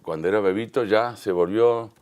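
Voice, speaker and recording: Low-pitched Rioplatense man, interview guest. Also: moderate pace, darkish tone. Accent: Rioplatense Spanish. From Uruguay and Argentina, Río de la Plata. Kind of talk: conversational television news interview.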